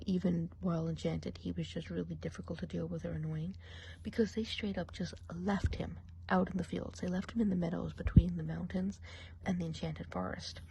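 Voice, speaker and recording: A woman's voice: fairly low pitch, creaky, breathy edge, full character voice. A woman playing a gossipy female forest witch in an ASMR roleplay, whispering and murmuring as she chats on. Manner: gossipy, mixes soft speech and whispers.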